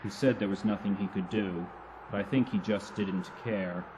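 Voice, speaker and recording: A man's voice: on the low side, steady tone, moderate pace, natural voice. Man acting weary, wry android, noisy backdrop. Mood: troubled, remembering. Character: weary, wry male android adventurer, damaged. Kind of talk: 1990s science fiction radio drama acting. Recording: loud ambient sound effects behind.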